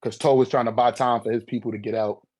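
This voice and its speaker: A man's voice: mid-range voice, fairly even intonation, quick pace, a little mellow. A man in a casual fan debate, talking in loose, profane slang and repeating himself for emphasis.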